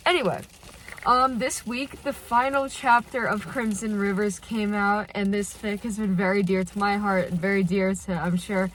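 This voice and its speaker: Female vlogger, stuffy nasal cold voice, excitable fan chatter, mid pitch, recorded in a car. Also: moderate pace, a little hiss or hum, low-quality recording. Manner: gushing and excitable, many filler "like"s. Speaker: channel host reacting to fan fiction. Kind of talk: casual reaction vlog. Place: inside a parked car.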